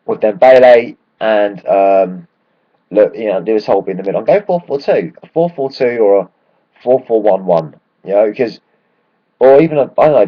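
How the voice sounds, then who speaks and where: Hoarse excitable football fan. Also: male voice, medium pitch, highs cut off. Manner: rambling, excitable, swears freely. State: hoarse from shouting. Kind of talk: casual match rant.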